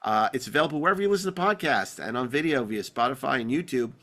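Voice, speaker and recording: A man's voice: medium pitch, expressive, fast, a bit bright. A man hosting a podcast in a joking, digressive, casual style, bantering with co-hosts and reading out show notes. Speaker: podcast host.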